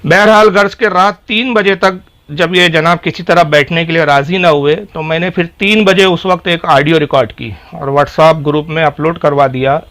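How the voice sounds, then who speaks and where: Higher-pitched man recounting a dispute. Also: a bit bright. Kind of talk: recounting a dispute on a phone call.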